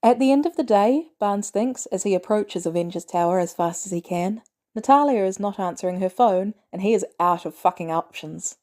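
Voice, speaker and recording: Lady reading a story aloud, mellow voice, normal pitch, expressive narrator style. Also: moderate pace. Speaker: audiobook narrator. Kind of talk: expressive scripted fiction narration.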